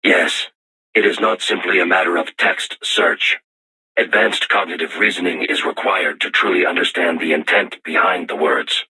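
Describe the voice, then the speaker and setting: A man voicing a solemn self-aware robot war machine in a video game, with formal, measured, stilted diction and robotic processing on the voice. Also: sits low, steady tone, sharp tone, slightly rough, full character voice, poor audio. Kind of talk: scripted video game voice acting.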